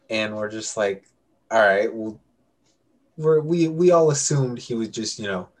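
Young guy w a lowish voice, rambly casual storytelling, keeps correcting himself. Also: darkish tone. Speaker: podcast co-host telling a story.

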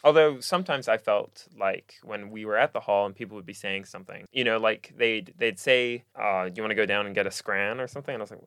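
A young man with an American accent, hosting a casual podcast chat in a relaxed, hesitant way with many pauses. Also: lowish voice, clear, resonant tone, dry recording, studio quality. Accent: American Midwest. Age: young adult. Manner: relaxed, hesitant, lots of ums and laughs. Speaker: podcast host.

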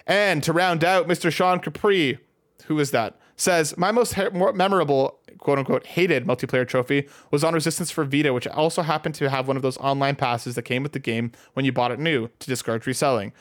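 A man hosts a casual podcast about games. His voice sits a little higher than most men's, and he moves through his words very quickly. The delivery is conversational, like a regular co-host. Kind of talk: casual gaming podcast talk, reading out listener comments.

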